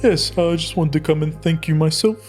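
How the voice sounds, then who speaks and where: A man's voice: a little high, a little fast, darkish tone, faint music behind. A man playing a tabletop game, improvising politely in character with a hesitant, slightly formal manner.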